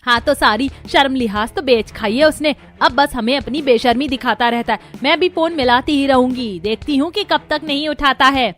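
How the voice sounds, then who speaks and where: A woman's voice: high-pitched, moderate pace, few pauses, a bit bright. A woman playing a harsh, scolding middle-aged Indian mother-in-law in a Hindi cartoon story, with a scornful, nagging manner. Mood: irritated scolding.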